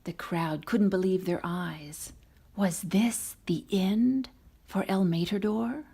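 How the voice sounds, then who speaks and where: A woman reading a children's storybook aloud in an expressive narrating style. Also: medium pitch, takes it slowly, creaky, breathy edge. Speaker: storybook reader.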